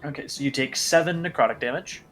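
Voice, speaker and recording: A man's voice: medium pitch, fast, fairly bright tone. A man casually running a tabletop roleplaying game, explaining rules in a precise, matter-of-fact way. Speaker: game master.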